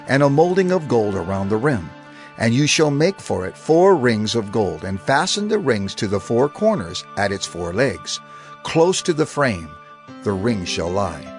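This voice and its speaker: Solemn man narrating scripture with lively pitch.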